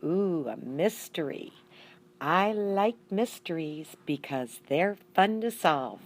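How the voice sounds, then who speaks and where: A small, curious storybook pet voiced by a woman chats confidingly to the listener. The voice is slightly low and slow, musing and expressive. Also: full character voice. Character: small pet animal, chatty, curious, good-natured storyteller.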